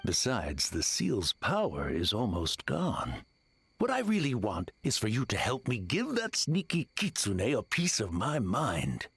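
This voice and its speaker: A man's voice: on the low side, huge pitch swings, unhurried, vocal fry, a bit bright, slightly rough, exaggerated voice. A man voicing a grumpy, boastful tanuki yokai trickster in a video game, with a cocky, theatrical delivery. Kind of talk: video game character acting.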